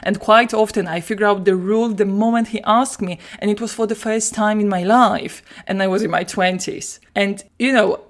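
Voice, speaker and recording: A woman's voice: medium pitch, steady tone, moderate pace, few pauses. A woman from Poland with a Polish accent, a language teacher talking through her solo podcast and putting questions to the listener. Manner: chatty, asks the listener questions.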